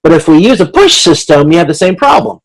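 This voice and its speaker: A man's voice: on the high side, big pitch swings, fast talker, poor audio. A man teaching an online class in an informal, explanatory lecture style, talking through examples and checking for understanding. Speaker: instructor leading an online class. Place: online video conference.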